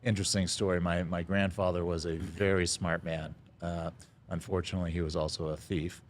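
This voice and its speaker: A middle-aged man, a guest telling his life story in a casual interview, pausing often on "uh" and chuckling now and then. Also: sits low, level tone, a little hiss or hum. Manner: halting, lots of "uh" fillers, chuckles now and then. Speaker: restaurant founder, podcast guest.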